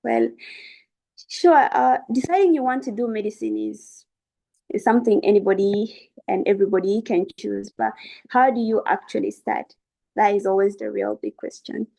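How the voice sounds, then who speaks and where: Young woman, creaky and soft-toned, earnest student presenter encouraging her listeners. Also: mid-range voice, normal speed, poor audio. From South Sudan. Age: young adult. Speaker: student webinar speaker. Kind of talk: informal educational presentation.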